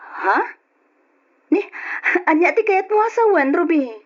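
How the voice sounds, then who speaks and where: An actress with a Filipino Ilocano accent playing an anxious, dutiful, soft-spoken wife in an old-fashioned radio drama. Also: female voice, very high-pitched, animated, measured pace. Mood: puzzled, uneasy. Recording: dull lo-fi radio drama recording.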